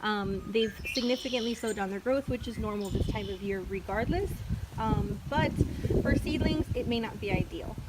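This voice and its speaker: A woman who is losing her voice, a hoarse orchid grower chatting casually outdoors with lots of ums and uhs. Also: mid-range voice, normal speed, very noisy. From Florida. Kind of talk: casual vlog walkthrough. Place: outdoors in a chilly backyard.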